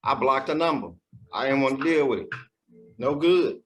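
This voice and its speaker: A man's voice: medium pitch, animated, moderate pace, a little hiss or hum. Blunt joking man in a group chat. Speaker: podcast council member. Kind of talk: casual group discussion.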